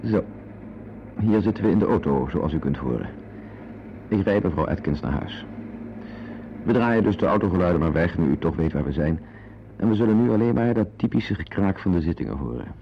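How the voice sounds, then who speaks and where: Wry, weary playwright-narrator. Deep, creaky, intimate and digressive, on a dull radio recording. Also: male voice, animated, fairly quick, pauses often, slight background noise. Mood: dry, confiding. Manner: confiding, dry, digressive. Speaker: narrator-protagonist of a radio play. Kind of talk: intimate first-person radio play narration.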